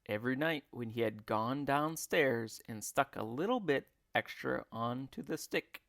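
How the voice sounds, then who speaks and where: A man reading a storybook aloud like a teacher, with expressive, deliberate and emphatic phrasing. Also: medium pitch, creaky, dry recording, clean studio sound. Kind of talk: expressive read-along storybook narration.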